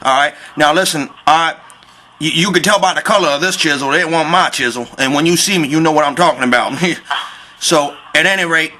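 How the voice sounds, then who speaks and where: A man plays a Southern construction worker on a prank call, a little high in pitch and quick. He rambles in folksy slang, mock-polite but stubbornly insistent. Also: sharp tone, a little hiss or hum, low-quality recording. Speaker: prank caller. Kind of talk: improvised prank phone call.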